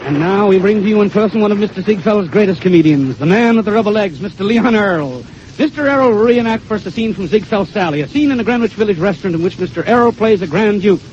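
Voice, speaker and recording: A man's voice: high-pitched, darkish tone. A man hosting a 1930s radio show, reading scripted introductions in a formal, storytelling manner. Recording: dull, noisy 1932 radio broadcast.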